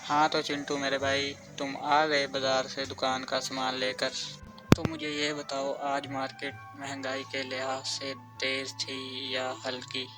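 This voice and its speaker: A man's voice: mid-range voice, completely flat delivery, a little slow, close to an everyday voice, poor audio. A man voicing an earnest young village shopkeeper over music. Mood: earnest, brotherly. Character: earnest, brotherly young village sweet-shop keeper. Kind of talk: scripted cartoon dialogue.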